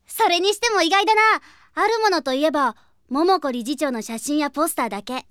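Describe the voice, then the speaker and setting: A woman's voice: high-pitched, fairly quick, fairly bright tone, no echo, clean studio sound. A woman voicing a small, curious, blunt boy with a childlike manner in a Japanese audio drama. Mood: frank, eager. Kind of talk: Japanese anime-style audio drama acting.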